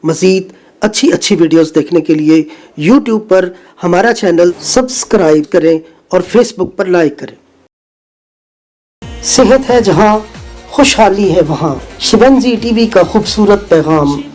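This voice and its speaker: A woman's voice: mid-range voice, measured pace, warm tone, soft background music. Woman reading a channel intro. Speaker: channel announcer.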